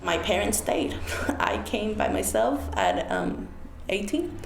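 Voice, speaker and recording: Earnest woman in her twenties, gravelly. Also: medium pitch, sing-song, slow. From United States. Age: 20s. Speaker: interviewee. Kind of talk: reflective interview talk. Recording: roomy echo, faint noise.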